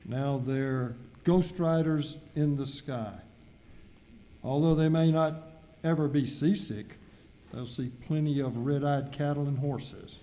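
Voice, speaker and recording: A man's voice: fairly high pitch, takes it slowly, warm tone. A man playing a passenger and narrator in an amateur radio skit. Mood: mock ominous. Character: puzzled male cruise passenger and radio show narrator.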